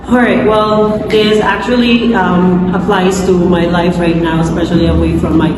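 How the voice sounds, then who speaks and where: A woman's voice: lowish voice, level tone, normal speed, over music. A woman in her twenties with a Filipino accent, a student giving hesitant reaction commentary full of fillers. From Philippines. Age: 20s. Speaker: English education student. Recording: echoey hall with noise.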